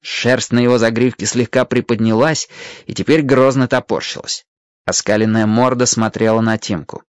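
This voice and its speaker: A narrator reading a radio-play story in an expressive, scripted storytelling style. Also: mid-range voice, moderate pace, no echo, clean studio sound.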